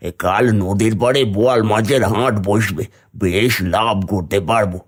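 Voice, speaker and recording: A man's voice: lowish voice, moderate pace, natural voice. A man voicing a sly, greedy villager in a cartoon, furtive and conspiratorial. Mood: boastful. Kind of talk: cartoon dialogue.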